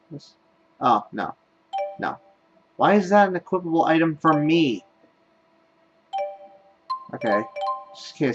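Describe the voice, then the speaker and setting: A man's voice: on the high side, muffled recording. Casual man doing let's play commentary. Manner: thinks aloud while playing. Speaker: gaming video host.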